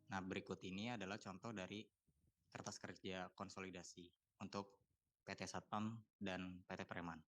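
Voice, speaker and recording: A man giving a student presentation in a halting, hesitant way. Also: sits low, little pitch movement, a bit bright. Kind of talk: presentation explaining accounting slides. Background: guitar music.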